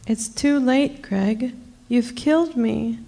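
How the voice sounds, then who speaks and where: A woman acting in a radio play as a dying, wicked witch wife, creaky and taunting, with drawn-out endearments. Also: on the high side, warm tone, heavily acted. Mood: mocking, dying.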